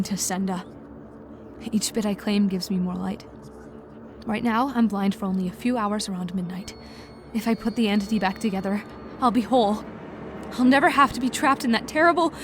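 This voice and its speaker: A woman's voice: medium pitch, normal speed, lightly acted. A woman playing an embittered, obsessive young villainess in a fantasy audiobook, intense and self-justifying. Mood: resolute, fierce. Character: embittered, obsessive young woman, dangerous, power-hungry twin sister. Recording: clear ambient noise behind voice.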